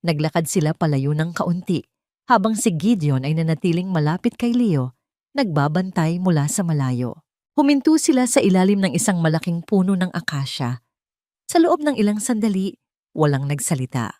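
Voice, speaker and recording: A woman's voice: on the low side, very animated, normal speed, dark tone, dry recording, clean studio sound. A woman with a Filipino accent reading a scripted dramatic story as its narrator.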